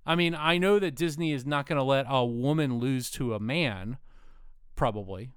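A man's voice: medium pitch, normal speed, clear, resonant tone, no room sound, studio quality. A man co-hosting a podcast, arguing earnestly and insistently in casual banter. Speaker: podcast co-host.

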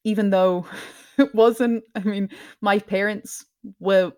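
A woman from Scotland with a mixed, mostly English accent, speaking softly in a lowered tone as a guest in a casual interview, laughing often. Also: fairly even intonation, takes it slowly. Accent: mixed, mostly English with some Scottish. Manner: soft lowered tone, laughs often, many ums. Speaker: podcast host, guest.

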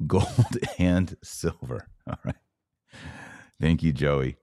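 A man from Texas with a very low voice, recorded close and dry. He talks like a podcast host on a live stream, casual and folksy, pressing his points with repetition as he responds to comments. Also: animated, slow pace, warm tone, no room sound.

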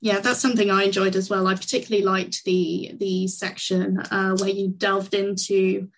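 A woman's voice: medium pitch, flat delivery, moderate pace, slightly rough, rough recording. A woman leading a podcast book discussion, chatty and friendly. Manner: friendly, chatty, laughs easily. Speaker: podcast interviewer. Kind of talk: casual podcast chat.

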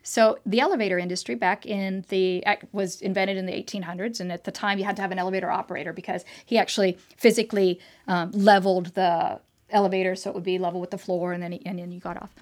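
Animated, chatty woman explaining tech. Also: mid-range voice, quick pace. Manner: animated, talkative explainer, frequent fillers and restarts. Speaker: tech expert guest. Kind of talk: casual interview chat. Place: trade show floor.

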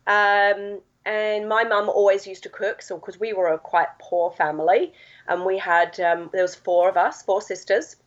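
A woman from England with a husky voice, chatting as a guest and explaining at length with many fillers. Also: mid-range voice, fairly even intonation, normal speed. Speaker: guest, health science lecturer. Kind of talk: phone-in podcast interview. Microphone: phone line. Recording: dull lo-fi phone line.

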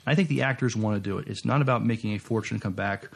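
A man with a fairly low voice full of vocal fry co-hosts a podcast. His delivery is casual and understated, with a halting flow. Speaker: podcast co-host. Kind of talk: casual podcast chat, reading listener reviews.

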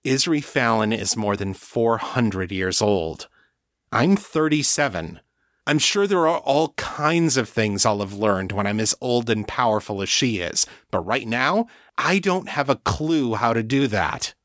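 A man voicing a steady, patient man in his late thirties who talks calmly and reasons things through. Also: medium pitch, measured pace, no room sound, clean studio sound. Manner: calm, reasoning.

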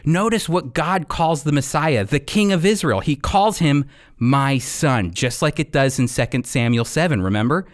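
A man preaching an expository sermon as a Bible teacher, with emphatic, deliberate delivery and dramatic pauses. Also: a little high, lively intonation. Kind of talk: expository sermon with scripture readings.

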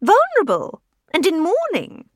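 A woman voicing a feisty widow from a wartime village, loud and dramatic in her exclamations. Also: very high-pitched, huge pitch swings, normal speed, no room sound, studio quality, pitched above the performer's own voice, exaggerated voice. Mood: agitated.

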